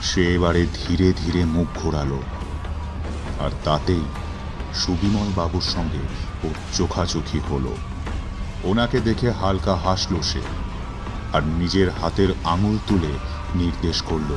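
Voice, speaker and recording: Low-voiced man narrating horror with suspense. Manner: suspenseful, theatrical, measured phrasing. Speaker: story narrator. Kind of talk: dramatic horror story narration. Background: background music.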